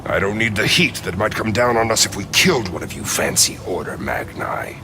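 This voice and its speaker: An actor playing a bitter, sardonic otherworldly creature in a video game, with a breathy rasp and a halting, cryptic manner. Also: male voice, lowish voice, expressive, background noise. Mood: brooding, grudging. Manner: slow, halting, cryptic, dry humour. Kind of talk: video game cutscene acting.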